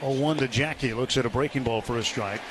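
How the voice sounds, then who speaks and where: A man doing live radio play-by-play baseball commentary in measured, short phrases with pauses, as the announcer. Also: medium pitch. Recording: clear stadium noise behind. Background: outdoor ballpark ambience.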